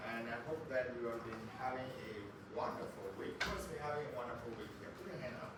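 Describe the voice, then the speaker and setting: A man's voice: medium pitch, a little fast. A man from Cameroon giving an informal talk to a class of children, chatty and quizzing the audience. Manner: chatty, asks the audience questions. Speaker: guest speaker. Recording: echoey room, mic far off, background noise.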